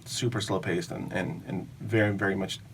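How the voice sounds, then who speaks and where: Low-voiced man from Venezuela, slightly off mic. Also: moderate pace, distant mic, a little hiss or hum. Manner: chatty, animated storyteller. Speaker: podcast guest. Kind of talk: casual podcast chat. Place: small foam-walled podcast studio. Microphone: studio mic on boom arm, headphones.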